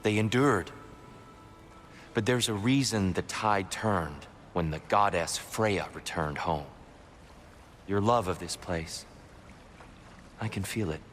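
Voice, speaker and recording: An actor playing a gentle, weary freed prisoner god in a video game, measured, reflective and courteous. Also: male voice, sits low, slight background noise. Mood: gentle, solemn. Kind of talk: dramatic video game acting.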